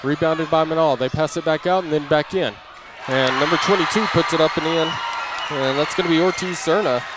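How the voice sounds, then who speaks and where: A man calling live basketball play-by-play in clipped running phrases, following each move as it happens. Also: fairly high pitch. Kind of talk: live radio-style play-by-play commentary. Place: indoor basketball gym during a game. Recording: crowd and gym noise behind. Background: crowd, bouncing basketball.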